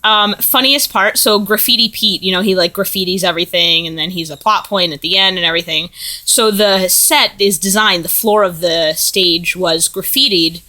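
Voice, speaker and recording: A woman's voice: fairly low pitch, moderate pace, sharp tone, poor audio. A young American woman teaching abroad, chatting in a casual video letter to a friend, rambling with lots of ums and likes. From United States.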